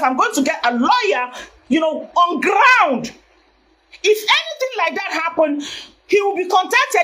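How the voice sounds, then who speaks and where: Nigerian woman live-streaming: naturally loud, high voice, presses her points hard. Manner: emphatic, repeats herself. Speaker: vlogger. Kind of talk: Facebook live video.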